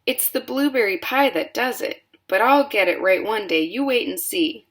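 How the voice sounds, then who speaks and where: A woman's voice: medium pitch, lively intonation, moderate pace, fairly bright tone. A woman voicing an eccentric male candy inventor who speaks in a rueful, matter-of-fact way.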